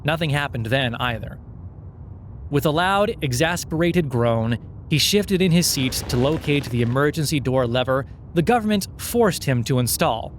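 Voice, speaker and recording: Guy narrating a satire story, kinda high voice, dry mock-earnest snark, bit of vehicle noise in the back. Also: animated, normal speed. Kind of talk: scripted satirical audio fiction narration.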